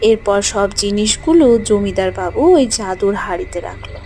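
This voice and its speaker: Woman reading a kids fairy tale, mid-range voice, soft music behind. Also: medium pitch, normal speed. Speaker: narrator. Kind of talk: scripted children's story narration.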